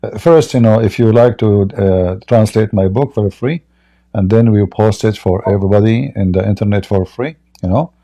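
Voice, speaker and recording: A man's voice: fairly low pitch, normal speed, warm tone. A man hosting a live online debate stream, answering a caller in a direct, emphatic way. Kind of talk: live-stream call-in reply.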